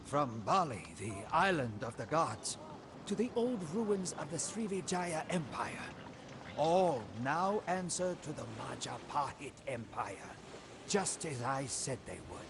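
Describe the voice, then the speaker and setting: A man's voice: on the high side, very animated, noisy background. An actor playing an ambitious, scheming old prime minister, solemnly and theatrically narrating his life for a storybook game campaign. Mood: proud, scheming. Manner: solemn, theatrical, deliberate, with hushed line endings.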